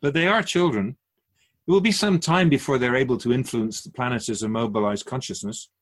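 A man playing a calm, wise otherworldly keeper of souls in a radio drama, speaking formally and instructively to humans. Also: mid-range voice, lightly acted. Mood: earnest, grave. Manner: measured, formal, didactic, rhetorical questions. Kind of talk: radio drama dialogue, philosophical counsel.

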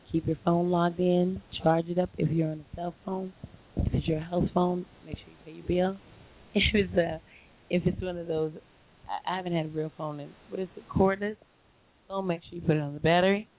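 A hoarse woman hosting a live call-in internet radio show, chatting in a rambling way with many fillers and restarts. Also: sits low. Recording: lo-fi phone line with faint hiss.

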